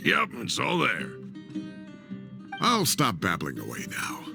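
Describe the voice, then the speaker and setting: An actor playing a jovial, folksy elderly professor with a creaky voice and a theatrical, rambling manner in an anime game. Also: male voice, medium pitch, huge pitch swings, slow, exaggerated voice. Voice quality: creaky old-man voice. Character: elderly male professor, jovial, homely, proud gardener. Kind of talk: anime video game voice acting. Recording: music under the voice.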